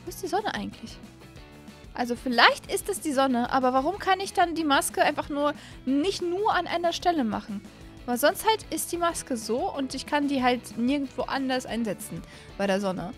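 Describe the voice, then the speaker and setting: A young woman hosting a gaming video, giving casual, animated and excitable commentary into a close desk microphone. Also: high voice, normal speed. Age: young adult. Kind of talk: casual let's-play commentary. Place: small indoor streaming room. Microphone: close desk microphone on an arm. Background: faint music.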